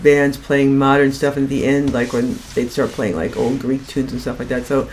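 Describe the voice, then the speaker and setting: A woman's voice: a bass voice, flat delivery, moderate pace, a little mellow. Older American woman chatting over room noise. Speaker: podcast guest. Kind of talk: casual chat. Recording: noisy room recording.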